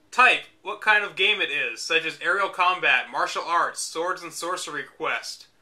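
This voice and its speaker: A man's voice: a little high, pushed and bright. A young man reading an old video game guide aloud and mocking it with dry asides. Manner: mocking, dry asides between read passages. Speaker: host reading a book aloud. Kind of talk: reading aloud with sarcastic commentary.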